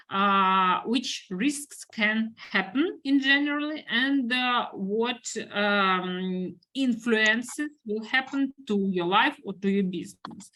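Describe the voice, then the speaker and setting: A woman from Ukraine with a Ukrainian accent, giving a webinar talk over a video call, halting and full of fillers as she searches for words. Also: mid-range voice, a bit bright. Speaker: guest speaker, business owner. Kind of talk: webinar presentation.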